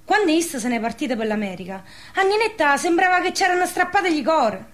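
An actress playing a proud, gossipy village spinster, speaking earthy southern Lazio dialect in a radio play. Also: female voice, very high voice, big pitch swings, a little fast, a bit bright, full character voice. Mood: gossipy, admiring. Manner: earthy, emphatic, colloquial. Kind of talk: dialect radio theatre dialogue.

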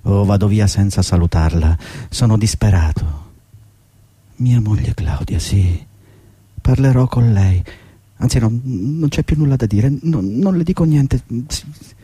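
An actor playing a bitter, desperate older employee who has been fired, in a theatrical radio drama, husky and agitated, swinging from muttering to outbursts. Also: male voice, lowish voice, animated, a little slow, warm tone, no room sound.

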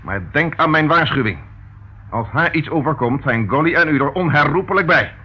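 Guy playing a stern dry police inspector in an old radio play, deliberate, kinda dull noisy recording. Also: a little high, animated, close to an everyday voice. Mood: stern warning. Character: dogged, sardonic male police inspector, menacingly patient.

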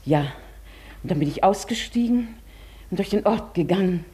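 A woman's voice: medium pitch, expressive, normal speed, mellow, close to an everyday voice. An actress playing a polite, evasive, formerly wealthy lady traveller in a German radio play. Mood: polite, reserved.

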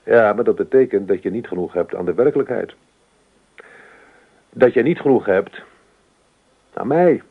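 Casting: stuffy, jealous radio-play husband, scolding and stammering, animated pitch, husky edge. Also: male voice, moderate pace, long pauses. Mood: exasperated. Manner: scolding, stammering when worked up. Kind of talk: Dutch radio play acting. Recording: dull, lo-fi radio play recording.

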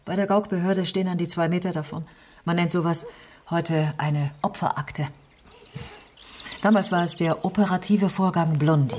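An actress playing an embittered, outspoken West German politician in a radio crime drama, with a husky voice and sardonic, emphatic delivery. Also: female voice, on the low side, fairly even intonation, moderate pace, a little mellow, slight background noise, natural voice. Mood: dry, weary. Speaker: lead actress and first-person narrator.

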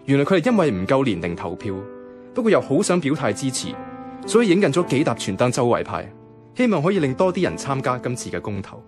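A man's voice: medium pitch, big pitch swings, fast, over music, lightly acted. An actor with a Hong Kong Cantonese accent playing a wavering, lovelorn young man who narrates a radio drama in a colloquial, introspective way. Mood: bemused. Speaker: lead narrator.

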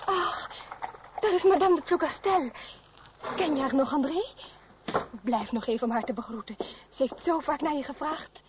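Woman playing an impulsive young noblewoman, high-pitched. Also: normal speed, pauses often, close to an everyday voice. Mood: eager. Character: young noblewoman, impulsive, tender, pleading niece. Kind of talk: Dutch radio play acting. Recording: dull, very noisy old radio recording.

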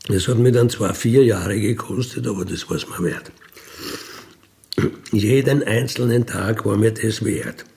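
Slow, creaky-voiced Austrian man. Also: on the low side, a little mellow. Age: middle-aged or older. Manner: matter-of-fact, colloquial, unapologetic. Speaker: ex-convict interviewee telling his life story. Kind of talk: candid conversational recollection.

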